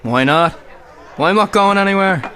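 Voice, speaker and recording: A man's voice: high voice, measured pace, bright, forceful tone, some chatter behind. Man acting an opinionated old Irishman. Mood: opinionated, wry. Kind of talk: conversational radio play acting.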